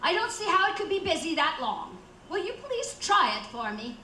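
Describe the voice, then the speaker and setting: A woman's voice: extremely high pitch, big pitch swings, normal speed, bright, forceful tone, heavily acted. A woman playing a nervous, sickly, fretful wife who stammers and repeats herself, in old-time radio suspense style. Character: anxious, high-strung, sickly wife home alone. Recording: roomy echo, faint background noise.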